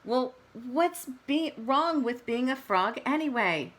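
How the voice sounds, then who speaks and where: Storybook mother frog, voiced by a woman: firm, slow, emphatic, patiently laying out each point with mild exasperation. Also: sits high, expressive, a bit bright, pitched above the performer's own voice, heavily acted. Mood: exasperated. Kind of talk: children's picture book read-aloud.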